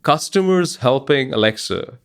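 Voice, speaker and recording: A middle-aged man with an Indian accent, a guest explaining his technical work in a measured way with many pauses. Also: mid-range voice, expressive, creaky, smooth. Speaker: guest scientist.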